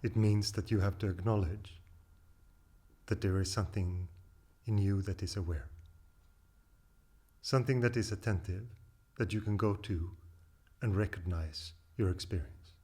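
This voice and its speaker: A man leading a guided meditation as a teacher, speaking in calm, deliberate phrases with long pauses. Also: low-pitched, slightly rough.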